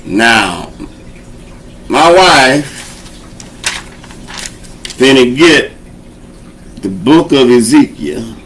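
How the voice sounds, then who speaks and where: A man's voice: mid-range voice, very animated, extremely slow, long pauses, a bit bright, a little hiss or hum. A man hosting a show and preaching in a folksy, conversational way, urging his listeners along. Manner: folksy, conversational preaching with call-and-response encouragement. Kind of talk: informal sermon teaching.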